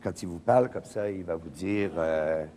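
A man's voice: normal speed, noisy background. An older man, a political commentator trading teasing remarks on a TV comedy set. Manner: teasing, lively, swings between mock-serious lows and excited highs. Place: TV studio set dressed as a living room.

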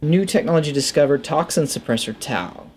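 A man's voice: on the high side, expressive, brisk, a little hiss or hum. A man in his thirties giving casual gaming commentary into a headset, musing to himself as he plays. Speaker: gaming video host. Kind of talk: casual let's play commentary, reading game text aloud.

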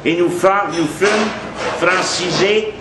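Male lecturer, Quebec French accent, high voice, emphatic conversational delivery, noisy café room. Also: steady tone, slow pace. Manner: emphatic, conversational, repeats points for weight. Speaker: history lecturer. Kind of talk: informal history talk to a café audience. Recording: echoey café, noisy background.

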